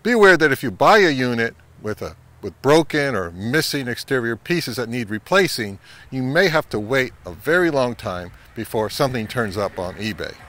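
A middle-aged man hosting an advice vlog, speaking to camera in an emphatic way with deliberate pauses. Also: mid-range voice, very animated, a bit bright. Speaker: host of an audio gear channel. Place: home listening room, later an open grassy field.

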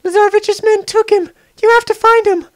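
A woman's voice: extremely high pitch, steady tone, a little fast, dry recording. A woman voicing an anxious, exasperated young woman in a parody game dub.

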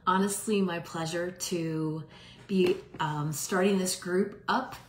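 A woman's voice: lowish voice, a little hiss or hum. A middle-aged woman, a holistic health coach, chatting casually to her phone camera on a livestream from home, with many ums and restarts. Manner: conversational, frequent ums and restarts. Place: home room indoors. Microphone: phone camera at arm's length. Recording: roomy echo.

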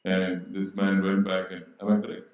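A middle-aged man with a lowish voice speaks on a business panel, measured and thoughtful, often posing questions to the audience. His handheld microphone carries him through a large auditorium with a heavy, booming echo. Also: flat delivery, a little mellow. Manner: measured, asks rhetorical questions. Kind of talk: panel discussion. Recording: very echoey hall.

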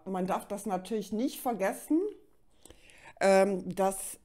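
Woman, middle-aged, slow speech, somewhat low voice, slight cold, informal card-reading talk, addresses listener directly. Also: a little mellow. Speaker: tarot reader and host. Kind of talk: informal tarot reading to camera. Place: indoors at a card table.

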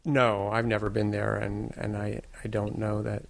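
A filmmaker guest from the United States talks in slow, reflective sentences. His low voice stays fairly flat in pitch. A gravelly edge runs through his speech. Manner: measured, thoughtful, slow-building sentences. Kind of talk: reflective interview answers.

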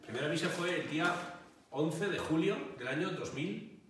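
Spanish priest in a very echoey room. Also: male voice, fairly high pitch, normal speed, fairly bright tone. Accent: Spain. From Barcelona, Spain. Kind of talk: interview answers, explaining faith. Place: indoor hall with wooden bench. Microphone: small mic held out at arm's length by interviewer. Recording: very echoey room, distant, faint noise.

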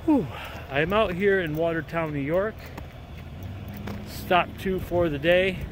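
A man in his forties, a truck driver vlogging casually to camera from his cab, talking in a relaxed, rambling way with plenty of "uh" fillers. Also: high voice, slow, a little hiss or hum. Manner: easygoing, rambling, with many "uh" fillers. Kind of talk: casual vlog chat to camera. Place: inside a truck cab and outdoors in winter. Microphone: handheld or dash camera at arm's length.

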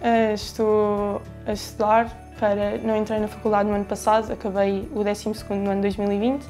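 A woman's voice: mid-range voice, little pitch movement, fairly quick, warm tone, faint music behind. An eighteen-year-old woman with a European Portuguese accent, talking in a rambling, self-correcting way into a lapel mic. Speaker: young interviewee. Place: dark indoor studio.

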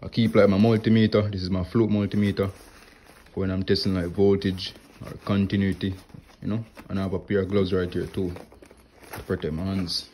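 Man, low voice, relaxed show-and-tell, phone mic up close, faint clicks. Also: a little fast, a little hiss or hum. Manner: relaxed, plain talk with many "like" and "right here". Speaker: HVAC technician showing his tools. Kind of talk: casual show-and-tell vlog. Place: indoors at home, wooden floor. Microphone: phone camera held close, filming his hands.

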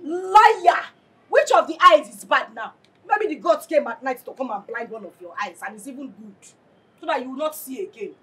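An actress with a Nigerian accent playing a brash, quarrelsome village woman who taunts loudly in Pidgin-laced English. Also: high-pitched, lively intonation, moderate pace. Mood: taunting. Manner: taunting, loud, mixes in Pidgin. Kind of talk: Nollywood film acting.

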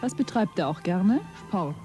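An actress playing an interviewer in a stylised radio play, feeding leading fill-in-the-blank questions. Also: female voice, on the low side, lively intonation, normal speed, mellow, soft background music, natural voice. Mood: prompting. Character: prompting female quiz-style interviewer feeding leading questions.

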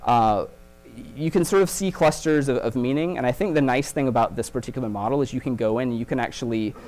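A man's voice: medium pitch, fast talker, a little mellow, poor audio. Man giving a technical conference keynote. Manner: explanatory, frequent "um" and "uh" fillers. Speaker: keynote speaker. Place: conference stage.

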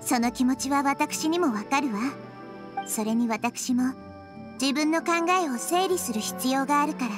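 A woman voicing a small, gentle, wise girl deity in an anime game, speaking with composed consideration. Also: high-pitched, a little slow, music in the background. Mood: thoughtful, sympathetic. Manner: composed, considerate, feminine phrasing. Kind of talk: anime game dialogue, Japanese dub.

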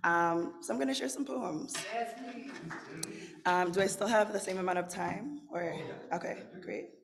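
A young woman from Zimbabwe with a raspy voice, performing spoken word poetry at a lectern microphone in an indoor room with dramatic, rhythmic delivery. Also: on the low side, measured pace, a crowd in the background. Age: young adult. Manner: dramatic, rhythmic declamation with sweeping gestures. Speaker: featured poet. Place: indoor room with brick wall, standing at a lectern. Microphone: stand microphone at the lectern.

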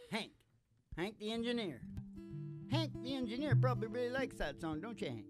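A man's voice: very high voice, big pitch swings, normal speed. An older man hosting with casual, joking banter in a rambling manner. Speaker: podcast host and singing musician. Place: small curtained studio stage. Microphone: stand microphone. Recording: live with loud acoustic guitar. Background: acoustic guitar.

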